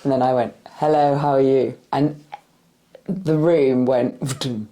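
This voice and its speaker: A woman's voice: a bass voice, fairly even intonation, slow pace, warm tone. A tired woman in her forties from West London, with a West London accent and a slight Irish-tinged R. She answers interview questions thoughtfully, with many fillers and restarts, and her voice sounds a little cracky. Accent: West London with a slight Irish-influenced R. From West London, England. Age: 40s. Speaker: interviewee, movement practitioner.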